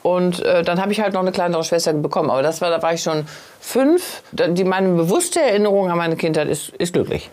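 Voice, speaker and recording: A German woman in her sixties with a Wuppertal Rhineland accent, chatting as a talk show guest in a cramped submarine, self-mocking and quick to laugh. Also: lowish voice, animated, a little mellow. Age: 60s. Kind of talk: casual chat.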